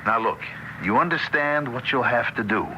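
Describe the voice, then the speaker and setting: An actor playing a gruff, worldly-wise American husband, brusque and anxious, in mid-century radio drama style. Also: male voice, medium pitch, moderate pace, pushed and bright, dull audio. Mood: brisk, instructive. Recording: hissy old radio play recording.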